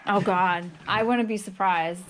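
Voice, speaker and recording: A woman's voice: medium pitch, steady tone, measured pace, fairly bright tone. Woman vlogging in a book room, chatty and admiring, bit of hiss. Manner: chatty, admiring, laughs often. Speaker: vlogger buying old books. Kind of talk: casual chat. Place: indoors, book room of a house.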